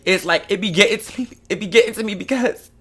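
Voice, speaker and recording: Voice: mid-range voice, fast, a bit bright, breathy edge. An online host in their twenties speaking candidly and emotionally to followers, with emphatic, slangy, profane phrasing and repetition for emphasis. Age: 20s. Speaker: online content creator and live show host.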